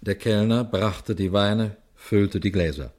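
An actor playing a sober, watchful police detective, telling his case in a measured, formal radio crime drama style. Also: on the low side, darkish tone. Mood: tense, observant. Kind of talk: radio crime drama, suspenseful first-person narration and dialogue.

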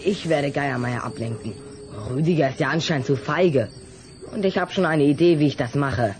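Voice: medium pitch, moderate pace, a little mellow, natural voice. An actor playing an earnest, shy but brave young boy in a children's radio play. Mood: defiant, determined.

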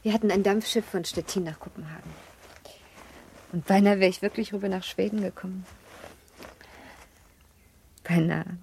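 A woman's voice: mid-range voice, steady tone, moderate pace, creaky, pauses often, a little mellow, lightly acted. An actress playing a wistful, frail young married woman in a literary radio play, musing pensively. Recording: noisy outdoor nature ambience. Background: birds, outdoor nature sounds.

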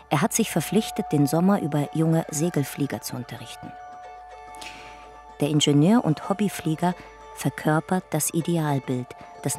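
A detached female narrator for a radio drama. Her voice is low and mellow, delivering scripted history in clipped, matter-of-fact phrases. Faint music plays underneath.

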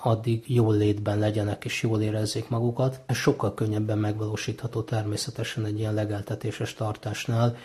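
A man explains his thinking in unscripted talk. His voice is on the low side, mellow and fairly flat in its melody. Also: few pauses.

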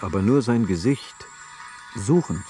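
A man acting as a co-narrator in a radio drama, commenting in measured fragments on someone's inner state. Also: lowish voice, expressive. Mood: grave. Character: deep-voiced male co-narrator describing a failing man's inner state. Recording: music behind the voice.

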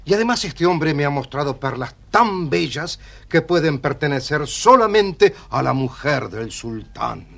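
An actor playing a sly, self-important merchant in a children's story record, raspy, theatrical and insinuating. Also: male voice, a little high, animated, a little slow, few pauses, fairly bright tone, slight background noise, heavily acted. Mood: smug, obsequious.

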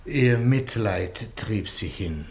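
An actor playing a sanctimonious, deceitful merchant in a radio drama, self-righteous and mock-sorrowful. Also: male voice, fairly low pitch, animated, slow pace, gravelly, a little mellow, exaggerated voice. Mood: falsely mournful. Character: sanctimonious, lying male merchant and husband. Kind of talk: German radio drama acting. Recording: roomy echo on radio drama.

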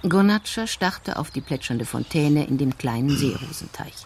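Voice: mid-range voice, animated, moderate pace, slight background noise. A narrator reading scripted literary prose for a radio crime drama.

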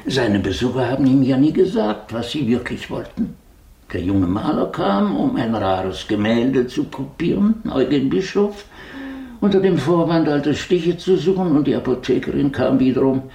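An actor playing an educated doctor and gentleman investigator in a radio play, speaking formally and deliberately. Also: mid-range voice, animated, close to an everyday voice. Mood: composed, serious. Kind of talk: naturalistic German radio play acting.

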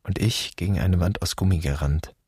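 A wounded son in a radio drama, confronting an absent father. His voice is extremely deep and very breathy-rough, recorded dry and close. The phrases are broken, terse and poetic. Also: moderate pace, a little mellow, dry recording. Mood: bitter, wounded. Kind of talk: stylized German radio drama dialogue.

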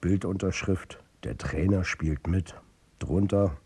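A man's voice: low voice, slow, a little mellow, slightly rough, heavily acted. An actor playing a troubled football coach and family man, narrating his own story in a confiding way in a radio drama. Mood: brooding, subdued. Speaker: narrator and lead character.

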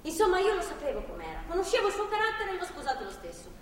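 Stubborn, bitter young woman held captive; high, animated stage voice in a reverberant hall. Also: very fast. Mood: rueful, candid. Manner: blunt, coarse, emphatic. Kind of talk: live stage drama dialogue. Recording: distant echoey theatre hall, faint noise.

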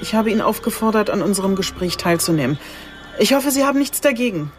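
A composed female police inspector in a radio crime drama, played by an actress. Her voice sits at a medium pitch and stays polite, controlled and matter-of-fact. Music runs behind her. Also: animated, quick pace, natural voice. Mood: firm, probing.

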